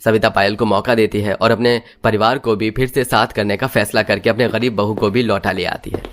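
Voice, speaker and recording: A man's voice: fairly low pitch, steady tone, a little fast. A man narrating a scripted moral story in a dramatic storytelling style.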